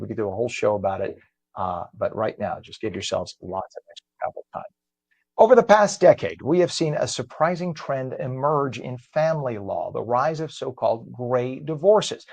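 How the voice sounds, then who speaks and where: A man's voice: medium pitch, gravelly, a little mellow, dry recording. A man, hosting a live morning show from a home studio, talking through traffic and introducing a guest in a chatty, conversational way. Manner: chatty and conversational, frequent fillers.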